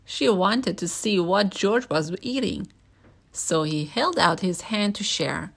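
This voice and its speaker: A woman's voice: mid-range voice, animated, slow, creaky, fairly bright tone, husky. A woman reading a children's picture book aloud as a storyteller.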